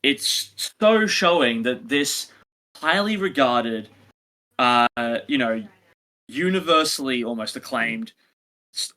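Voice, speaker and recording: A man's voice: mid-range voice, pushed and bright. A man co-hosting a review podcast in casual, opinionated discussion, emphatic, sarcastic and prone to swearing. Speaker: podcast co-host reviewing audio dramas.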